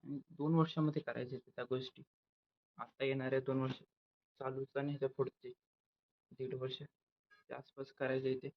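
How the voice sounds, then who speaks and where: A man's voice: medium pitch, little pitch movement, a little fast, pauses often, no echo. A young man with a rural western Maharashtra accent chatting casually for a daily vlog, rambling and correcting himself as he goes. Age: young adult. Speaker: vlogger. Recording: dull lo-fi phone sound, background noise.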